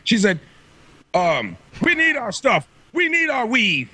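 A man's voice: very high-pitched, wildly swooping pitch, slow pace, sharp tone, breathy edge, no room sound. A man appearing as a podcast guest, giving blunt, emphatic commentary. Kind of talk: opinionated commentary.

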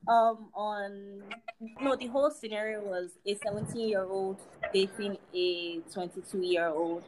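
A woman's voice: medium pitch, steady tone, measured pace, darkish tone, a little hiss or hum, low-quality recording. An eighteen-year-old woman, hosting a casual group podcast and steering it with teasing humor. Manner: playful, teasing, steers the talk. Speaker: podcast host.

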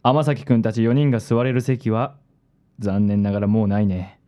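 A man playing a suave, vain young ladies' man in a radio drama, with smooth, affected phrasing. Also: on the low side, normal speed, mellow, close to an everyday voice. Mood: suave, smug.

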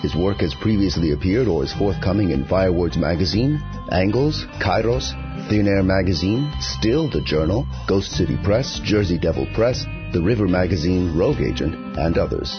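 A man's voice: sits low, expressive, moderate pace, hardly any pauses. A man hosting a podcast, reading a scripted introduction and sign-off. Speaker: podcast host. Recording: dull lo-fi audio with music. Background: music.

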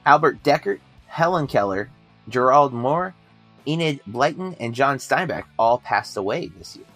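A man co-hosting a casual film podcast, relaxed and conversational, with frequent ums and you-knows. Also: mid-range voice, measured pace, faint music behind. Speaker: podcast co-host.

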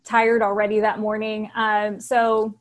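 A soft-spoken woman in her thirties with a higher voice, a lawyer chatting as an interview guest with lots of fillers. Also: monotone, measured pace. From Texas. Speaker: business attorney guest. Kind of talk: casual interview talk.

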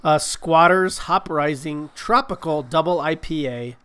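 Brief: podcast co-host, man, congested nose, crude rambling banter, slightly higher voice. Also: unhurried, fairly bright tone, clean tone. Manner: rambling, profane, self-mocking. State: stuffed-up nose from a cold. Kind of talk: casual chat.

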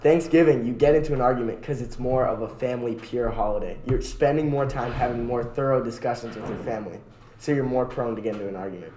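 A man's voice: medium pitch, fast. A young man co-hosting a podcast, debating friends in a slangy, argumentative way with some swearing. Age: young adult. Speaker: podcast co-host. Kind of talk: casual debate among friends. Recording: roomy echo with background noise.

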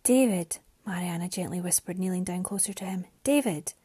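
A woman voicing a gentle young storybook heroine, emotional, anguished and pleading. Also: on the low side, brisk, breathy edge. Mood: alarmed.

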